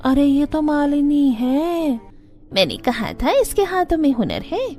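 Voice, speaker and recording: A woman as a high-pitched, curious village shopper. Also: very animated, measured pace, darkish tone, soft background music, exaggerated voice. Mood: pleased, approving. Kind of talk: cartoon story dialogue.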